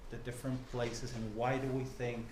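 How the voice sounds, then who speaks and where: A man from Buenos Aires with an Argentine Spanish accent, a journalist and professor giving an informal talk to a group, halting and full of restarts, often checking in with the room. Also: medium pitch, flat delivery. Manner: halting, many fillers and restarts, asks the room questions. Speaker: guest speaker, journalist and professor. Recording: echoey room, faint noise.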